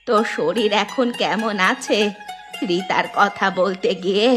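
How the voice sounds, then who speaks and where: A woman's voice: mid-range voice, unhurried, a bit bright, lightly acted. A woman voicing a kindly, moralizing old village grandmother in a cartoon. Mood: wistful, caring. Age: older. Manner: moralizing, affectionate. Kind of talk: cartoon dialogue acting. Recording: music behind the voice.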